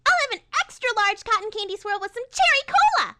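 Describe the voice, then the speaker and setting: A woman voicing a giddy, bubbly young female party pony in an excitable cartoon style, on a slightly noisy home recording. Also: very high-pitched, fast talker. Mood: giddy, excited. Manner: excitable, exclamatory. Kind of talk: animated cartoon-style audio drama.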